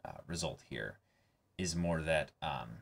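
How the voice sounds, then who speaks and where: A man's voice: a bass voice, expressive, unhurried, vocal fry, slight background noise. A man in casual discussion as co-host of a reaction show, thinking aloud and hedging as he goes. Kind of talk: casual discussion of an anime episode.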